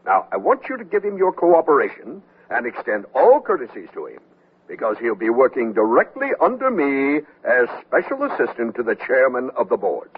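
An actor playing a formal, worrying board chairman in a radio comedy, with a husky voice. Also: mid-range voice, sing-song, moderate pace. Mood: formal, confident. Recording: tinny radio broadcast with faint music.

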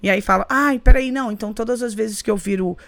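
A woman's voice: on the high side, fast talker, fairly bright tone, no echo. A woman with a Brazilian Portuguese accent explaining pronunciation conversationally, like a teacher, often checking that the listener follows. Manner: didactic, often checking understanding with short tag questions. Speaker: English pronunciation teacher, interview guest. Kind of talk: conversational explanation with spoken examples.

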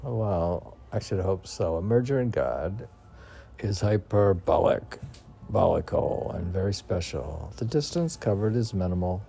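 Low, dark-voiced man musing poetry. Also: expressive, a little slow, creaky, breathy edge. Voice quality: rambling, musing delivery with chuckles between lines. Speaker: poet reading his own poems. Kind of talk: meditative poetry recitation mixed with casual home chat. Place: home room with windows.

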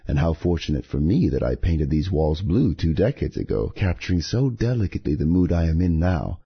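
A man hosting a poetry podcast, reading scripted material in a measured, deliberate way with a husky voice. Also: low voice, big pitch swings, muffled recording. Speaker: podcast host and poetry reader.

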